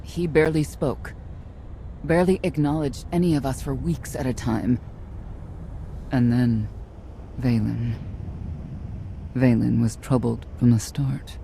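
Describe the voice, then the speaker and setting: A woman playing a weary, guarded middle-aged mother turned stern knight in a video game, speaking in a measured, solemn way with a creaky voice. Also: a bass voice, steady tone, dark tone, a little hiss or hum, full character voice, poor audio. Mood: sorrowful, bitter. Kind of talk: dramatic video game dialogue.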